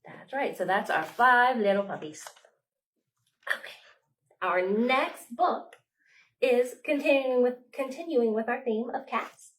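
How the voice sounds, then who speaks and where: A woman leading a storytime for young children, reading aloud expressively with dramatic pauses, like a children's librarian. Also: on the high side, fairly bright tone, low-quality recording.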